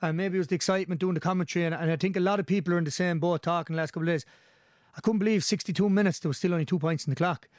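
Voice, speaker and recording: A man with a Limerick Irish accent talks sport in a casual, enthusiastic, rambling way. His voice sits on the high side and is slightly hoarse. The sound is dry, with very little room on it. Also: steady tone, racing, darkish tone, dry recording. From Limerick, Ireland. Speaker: sports podcast pundit and commentator.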